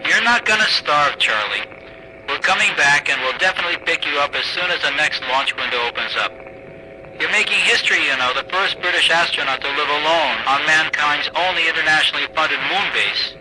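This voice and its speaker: An actor playing a reassuring, apologetic male mission controller in a radio drama, speaking in a measured, placating way. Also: on the high side, sharp tone, slight background noise, rough recording.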